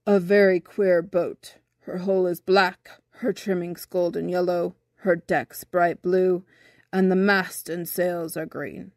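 A woman's voice: fairly low pitch, little pitch movement, slow pace, no room sound. Woman as a blunt, plucky bumblebee, dry sound. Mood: reporting. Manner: matter-of-fact, curt.

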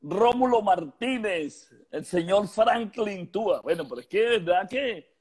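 A man's voice: sits high, animated, unhurried, darkish tone, slightly rough. An older man with a Venezuelan Spanish accent hosting a casual live-stream interview over a video call, chatty and joking, stretching out phrases for emphasis. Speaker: host. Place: home room with shelves, indoors. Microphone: video call webcam.

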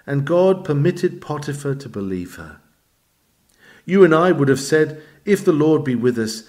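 A man reading an old sermon aloud in a measured, emphatic, oratorical manner. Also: on the high side, darkish tone. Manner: emphatic, measured, formal delivery. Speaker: reader of a historical sermon.

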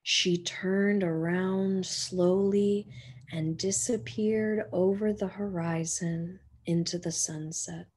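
A woman teacher reading a children's picture book aloud in a gentle storytelling manner, sometimes dropping to a whisper, recorded on a webcam in a small indoor room. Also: fairly low pitch, steady tone, breathy edge. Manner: slow, gentle storytelling with soft whispered passages. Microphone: webcam on a video call.

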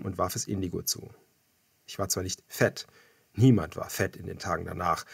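A man reading scripted first-person thriller narration as an audiobook narrator, measured and dramatic. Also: on the low side, gravelly, breathy edge, dry recording, studio quality.

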